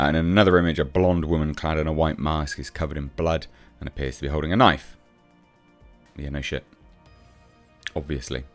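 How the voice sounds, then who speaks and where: Male reviewer, low-pitched voice, blunt sceptical off-the-cuff commentary over clear background music. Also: no echo. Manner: blunt, sceptical, swears casually. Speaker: film reviewer, channel host. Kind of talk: casual off-the-cuff commentary on film news.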